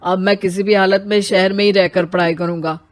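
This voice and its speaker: A woman's voice: mid-range voice, level tone, fairly quick, no echo, natural voice. A woman voicing an arrogant, stubborn young village husband in a cartoon story, curt and self-important. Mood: determined.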